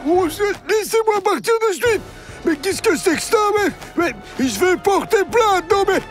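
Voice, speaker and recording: Fussy old village grandpa, high and swooping, blustery, indignant, animated series, music underneath. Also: male voice, normal speed. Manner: blustery, rambling, peppered with tag words. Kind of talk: French cartoon dub acting.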